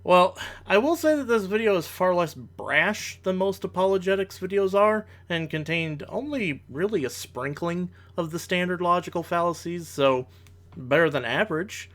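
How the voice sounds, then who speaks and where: A man with a somewhat high-pitched voice presents a scripted rebuttal as a commentator. His wording is formal and analytical, and he is blunt in his criticism. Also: lively intonation, moderate pace, fairly bright tone. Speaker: commentator, video host.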